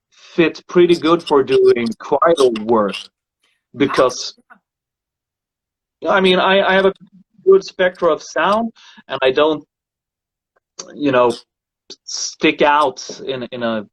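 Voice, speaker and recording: A male singer hosting a casual chat about voices, speaking thoughtfully and halting often to find his words. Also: a little high, no echo, poor audio. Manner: thoughtful, halting, repeats words while searching. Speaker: singer and show host. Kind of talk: casual conversation about singing.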